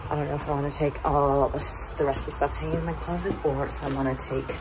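A young woman in her twenties casually vlogging, chatty and relaxed, laughing often, filmed on a handheld phone. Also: sits low, fast talker. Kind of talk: casual vlog chat. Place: home closet, then car and house. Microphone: handheld phone camera. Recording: noisy handheld phone audio.

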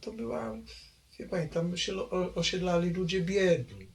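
An older man recalling his family history in a halting way, full of pauses and hesitations. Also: high-pitched, creaky. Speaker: interviewee, longtime local resident. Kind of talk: oral history recollection.

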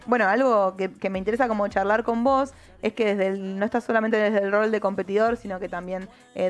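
A young woman with an Argentine accent, hosting a casual studio interview into a handheld mic, chatty with many fillers. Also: medium pitch. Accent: Argentine Spanish. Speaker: host. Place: TV studio with red couch. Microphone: handheld microphone.

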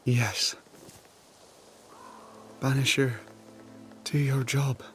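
A man playing a guilt-ridden, devout settler in a video game drama, with a breathy, halting, anguished delivery. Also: medium pitch, little pitch movement, soft background music. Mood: broken, pleading. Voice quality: breathy, husky, breaking into whispers.

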